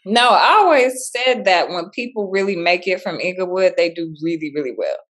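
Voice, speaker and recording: A woman's voice: fairly low pitch, expressive, normal speed, fairly bright tone. A woman from Chicago, a school principal in her thirties or forties, chatting cheerfully in an interview and laughing easily. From Chicago, South Side. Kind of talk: casual interview chat.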